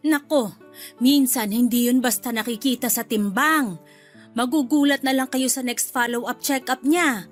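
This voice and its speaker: A woman's voice: fairly high pitch, normal speed, soft background music, lightly acted. A woman playing a chatty, bluntly nosy middle-aged mother-in-law in a Filipino radio drama, with a Filipino accent. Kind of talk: Tagalog radio drama acting.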